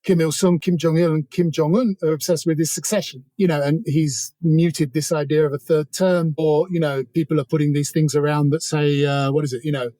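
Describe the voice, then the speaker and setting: A man's voice: on the high side, flat delivery, fairly quick, hardly any pauses, dark tone, no echo. A man speaking as an interview guest, discussing politics casually in a discursive, explanatory way.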